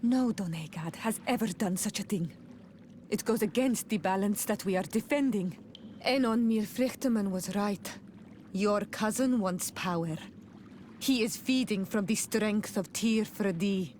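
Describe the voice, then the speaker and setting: Grave female islander voiced by an actress, mid-pitched, solemn and deliberate, game cutscene, faint ambience. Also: darkish tone, a little hiss or hum, natural voice. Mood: grave, disapproving. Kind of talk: video game cutscene dialogue acting.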